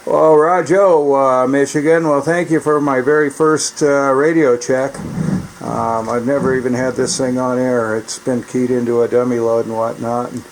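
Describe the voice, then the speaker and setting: American guy doing casual radio tinkerer chat, folksy and rambly, medium pitch, some noise behind him. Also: steady tone, moderate pace, rough recording. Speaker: radio repair hobbyist and CB operator. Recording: clear background noise.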